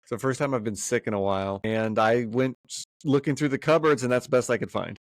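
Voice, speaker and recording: A man's voice: medium pitch, quick pace, no echo. A young man talking through a head cold, sounding a bit congested. He co-hosts a casual sports podcast and hedges as he weighs his picks. The sound is close and dry. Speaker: fantasy football co-host.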